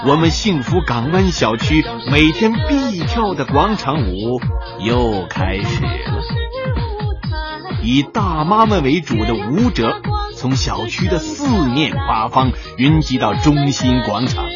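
A man presenting a radio drama with scripted, expressive introduction and narration. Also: mid-range voice, slow pace. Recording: dull audio with music behind.

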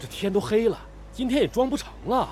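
A man acting a friendly, excitable young factory workmate in a radio drama, chummy and exclamatory. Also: high voice, quick pace, slight background noise. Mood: casual. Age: young adult.

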